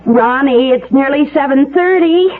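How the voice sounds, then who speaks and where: An actress playing a brash, breezy, clumsy wife on the run in a comedic radio drama, wisecracking and unflappable. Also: female voice, high voice, unhurried, music in the background. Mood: urgent. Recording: tinny, noisy old radio recording.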